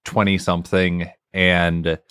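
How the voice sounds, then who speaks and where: A man chatting with friends at a roleplay table, telling a story with lively put-on voices for the people he quotes. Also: low voice, slow pace, smooth, no room sound, studio quality. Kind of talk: casual chat among friends, telling stories.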